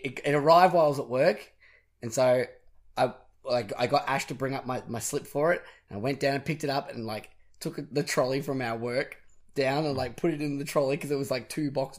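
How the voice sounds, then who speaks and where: A young man hosting a podcast in casual chat, his voice husky and raspy from a cold and singing, rambling and self-deprecating. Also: mid-range voice. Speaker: podcast host.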